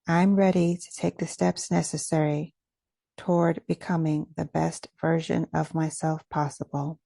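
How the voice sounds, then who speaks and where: A woman leading a guided meditation in a gentle, measured, instructional way. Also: deep voice, little pitch movement, creaky, dry recording.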